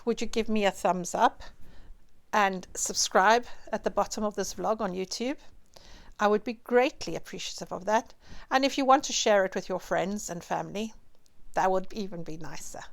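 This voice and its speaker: A woman closing her vlog in a friendly way, apologizing for her pronunciation and thanking viewers. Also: medium pitch. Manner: friendly and apologetic, with light laughs. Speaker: vlog host. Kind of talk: vlog sign-off.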